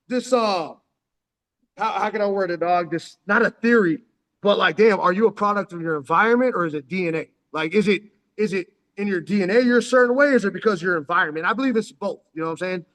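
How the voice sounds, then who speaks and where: A man's voice: high voice, a bit bright, big-room sound. A man in his forties, born in Texas and raised in San Diego, telling his story as a guest in a loose, rambling way, full of street slang and "dog.". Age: 40s. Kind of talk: casual storytelling interview.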